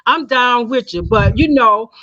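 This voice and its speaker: A woman's voice: on the high side, unhurried, pushed and bright. A woman hosting a casual interview show, talking emphatically and repeating her phrases for effect. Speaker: talk show host. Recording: dull lo-fi audio.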